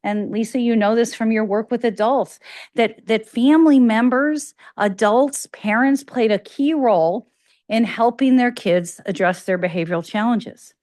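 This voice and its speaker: A woman's voice: a little high, measured pace, darkish tone, no echo, clean studio sound. A woman with a slight Chicago accent giving an academic grand rounds lecture, talking conversationally with fillers and restarts. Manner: conversational, self-correcting, with frequent fillers.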